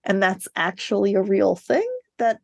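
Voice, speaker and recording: A woman co-hosts a casual book chat in a dry, close recording. Her voice sits at a middling pitch, and she tells things in an animated way, wandering off into side details. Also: normal speed, creaky, no room sound. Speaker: podcast co-host.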